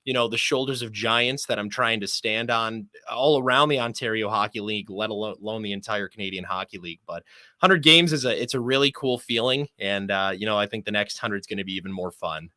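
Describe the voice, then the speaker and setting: A young man with a bright, projecting broadcaster's voice talks in a sports interview. He is articulate and measured, choosing humble, rather elaborate phrases. The sound is dry and close. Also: fairly low pitch, no echo. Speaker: sports play-by-play announcer, interview guest.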